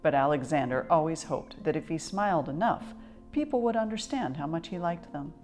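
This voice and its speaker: A woman reading a children's picture book aloud as a storyteller, with expressive, measured delivery. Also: deep voice, faint music behind.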